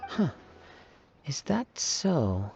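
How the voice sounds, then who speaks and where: A man playing a calm, courteous young wandering samurai in a video game, speaking formally and modestly. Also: on the high side, extremely slow, creaky, heavily acted. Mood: composed, serious. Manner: soft-mannered, formal, composed. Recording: muffled game stream audio, music behind.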